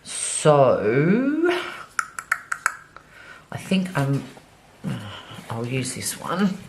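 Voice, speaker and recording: A woman's voice: rumbling low, huge pitch swings, drawn-out words, noisy background. A woman vlogger chatting casually as she decorates her planner, slightly nasal from a cold, with many ums. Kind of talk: casual plan-with-me narration while decorating.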